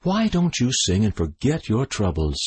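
A man voicing a gentle young princess who dotes on her pet bird, in a storybook reading. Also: lowish voice, wildly swooping pitch, moderate pace, husky, heavily acted. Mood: coaxing. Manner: coaxing and earnest.

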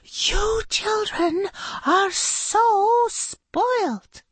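A woman's voice: high-pitched, big pitch swings, extremely slow, fairly bright tone, no room sound, pitched above the performer's own voice, full character voice. A woman reading a storybook aloud, voicing a stern schoolteacher who is exasperated and sometimes drops to a tense whisper. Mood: angry. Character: stern woman schoolteacher fussing over paperclips.

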